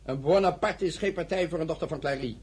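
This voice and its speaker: An actor playing a stern middle-aged revolutionary official, curt and commanding in a radio play. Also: male voice, fairly high pitch, fast, darkish tone, husky. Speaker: prison commander.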